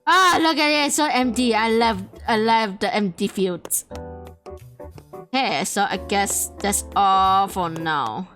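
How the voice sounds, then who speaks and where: A woman of middle pitch commentates a mystery game in a casual, musing way, turning clues over as she talks. Faint game music plays behind her voice. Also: slow, fairly bright tone. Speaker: let's play host.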